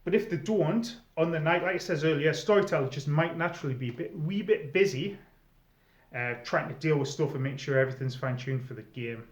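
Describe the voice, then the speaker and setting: A man's voice: a little high, lively intonation, a little fast. Echoey middle-aged man giving advice. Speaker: experienced role player giving advice to newcomers. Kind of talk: informal advice monologue to viewers. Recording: echoey room, slightly dull sound.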